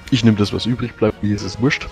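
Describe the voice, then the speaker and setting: A man playing a tabletop game, thinking out loud about tactics with many restarts and fillers. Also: fairly low pitch, steady tone, fast, gravelly, soft background music. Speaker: player. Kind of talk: strategy discussion in a tabletop game.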